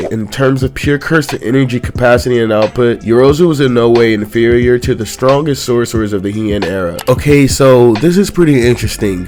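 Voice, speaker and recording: A young man with a slightly congested voice, recapping a manga chapter in casual, conversational commentary with quick exclamations. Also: medium pitch, a little mellow.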